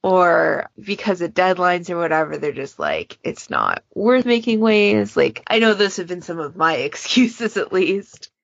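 A woman's voice: on the low side, big pitch swings, measured pace, creaky, few pauses, no room sound, lo-fi sound. A woman chatting casually and informally as a moderator on a podcast panel.

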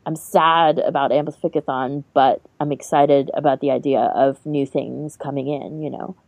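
A woman's voice: a bass voice, steady tone, normal speed, darkish tone, dry recording. A woman co-hosting a podcast, chatting casually and conversationally. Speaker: podcast co-host.

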